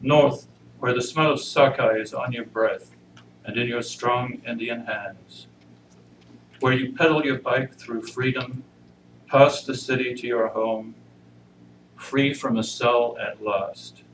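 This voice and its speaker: Male reader for poetry, unhurried and grave, picked up from a distance in a reverberant church room. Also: medium pitch, steady tone. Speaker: congregation member reading inmates' poetry. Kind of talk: introduction and poetry reading. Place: church service, indoor room. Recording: roomy, noisy pickup far from mic.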